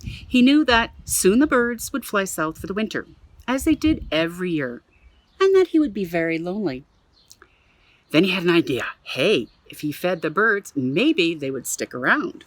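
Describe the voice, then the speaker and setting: A woman from Canada reading a children's picture book aloud in her backyard for a museum, playful and expressive, with exclaimed asides. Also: fairly low pitch, normal speed, dry recording. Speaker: museum storyteller. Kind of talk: children's storybook read-aloud. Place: own backyard.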